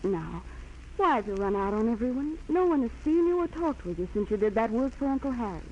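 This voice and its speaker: A woman's voice: a little high, big pitch swings, a little fast, darkish tone. Casting: straightforward young American woman engaged into money, persuasive, radio-drama polish, dull recording with faint noise. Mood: concerned, coaxing. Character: frank, coaxing young society fiancée. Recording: dull, noisy old 1940s radio recording.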